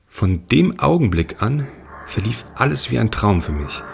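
Brooding gravelly sect leader played by a man. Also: medium pitch, big pitch swings, moderate pace. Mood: haunted, awed. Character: brooding male sect leader in space, recounting his downfall. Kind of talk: science fiction audio drama with first-person narration.

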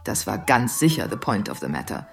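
A woman acting as a mystical female dream interpreter in a radio play, with swooping, lively pitch. She is domineering and talks down to her client in a teasing way, with music in the recording. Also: fairly quick, gravelly, exaggerated voice. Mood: condescending. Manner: condescending, teasing. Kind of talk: German audio drama dialogue.

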